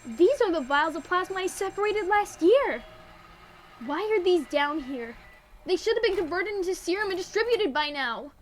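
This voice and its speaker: A woman's voice: very high-pitched, lively intonation, normal speed, soft background music. A woman playing an earnest, bewildered female pony researcher in an audio drama, anxious and questioning. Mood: bewildered, alarmed.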